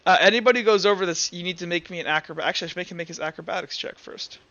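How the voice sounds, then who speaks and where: A man with a high, bright voice works as game master for a tabletop roleplaying session. He narrates informally, starting and stopping as he goes. The recording is a little dull and muffled. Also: very fast.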